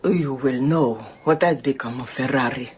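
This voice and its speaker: An actress playing an imperious, tormented widowed Contessa in a radio drama, theatrical and intense. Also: female voice, extremely low pitch, normal speed, a little mellow, a little hiss or hum. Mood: grave, intense.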